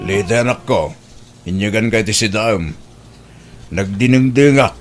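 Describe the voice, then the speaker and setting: A man's voice: fairly low pitch, a little slow, loud music behind the voice, natural voice. An actor playing a doting old father who fusses over and coaxes his grown daughter in a radio-style comedy drama. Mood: fussing, coaxing. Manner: fussing, coaxing, exclamatory.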